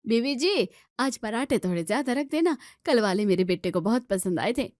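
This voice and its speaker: A woman's voice: a little high, lively intonation, normal speed, darkish tone, no room sound, clean studio sound, voice pushed up, natural voice. A woman voicing a wheedling household maid with a pleading manner in an Indian family story. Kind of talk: acted Hindi family story dialogue.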